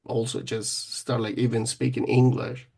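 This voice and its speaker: Gravelly-voiced man, hesitant speech. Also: mid-range voice, little pitch movement, moderate pace, darkish tone. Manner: hesitant, with frequent restarts. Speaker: teacher of children. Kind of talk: casual conversation.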